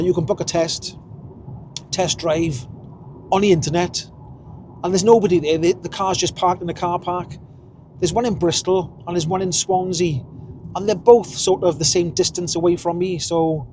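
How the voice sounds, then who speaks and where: Welsh man in his 50s, higher voice, rambling car-vlog chat, faint road hum behind. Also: a little mellow. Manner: chatty, rambling, chuckles at himself. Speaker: vlogger. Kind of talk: casual driving vlog. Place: inside a car while driving.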